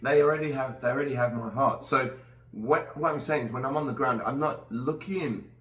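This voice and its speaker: A man's voice: medium pitch, a little fast, fairly bright tone. A middle-aged man from Nigeria hosting a casual podcast conversation, talking earnestly and rambling with many restarts. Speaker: podcast host. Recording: roomy sound, distant from the mic.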